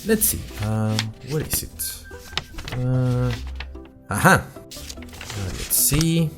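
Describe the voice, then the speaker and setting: Man playing a chatty, animated young student podcaster. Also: mid-range voice, very slow, music in the background. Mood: musing. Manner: conversational, wry, addresses listeners directly. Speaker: host of a fictional audio diary. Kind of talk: scripted first-person audio drama narration.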